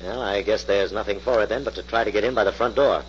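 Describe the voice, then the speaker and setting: An actor playing a confident, businesslike crime-fighter in a 1940s radio drama. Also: male voice, fairly low pitch, quick pace, close to an everyday voice. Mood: friendly, purposeful. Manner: assured, businesslike. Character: confident, brisk male crime-fighting hero. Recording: muffled, noisy old radio recording.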